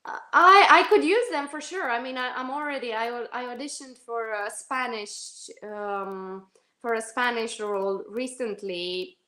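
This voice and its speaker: A woman's voice: a little high, measured pace, bright, forceful tone. A woman from Romania, an actress answering interview questions in a thoughtful, hesitant way with frequent restarts. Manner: thoughtful, self-correcting, many fillers and restarts. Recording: echoey room.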